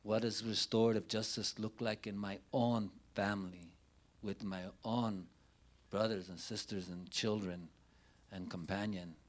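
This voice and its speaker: Slow, low-voiced middle-aged man. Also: steady tone. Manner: deliberate, halting delivery with short phrases and pauses. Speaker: panelist speaking at a community forum. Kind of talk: unscripted public speech, personal storytelling. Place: indoor forum room, before a banner. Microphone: handheld microphone held near the mouth.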